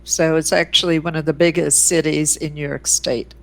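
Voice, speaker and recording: A woman's voice: low voice, little pitch movement, moderate pace, vocal fry, fairly bright tone, soft background music. A woman whose first language is Ukrainian, speaking as an interview guest with effusive enthusiasm and frequent fillers, recorded in a room at home. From Canada and the US. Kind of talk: conversational interview. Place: a room at home with her oil paintings.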